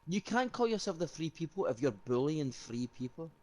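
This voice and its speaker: A man's voice: a little high, very animated, moderate pace, darkish tone. A young Scottish man, a game player, arguing confrontationally and firing repeated questions at the other player. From Scotland. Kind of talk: heated in-character argument.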